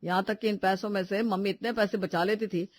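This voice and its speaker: A man's voice: high voice, steady tone, a little fast, lightly acted. A man playing a grumbling adult son and husband in a household story, with a complaining manner.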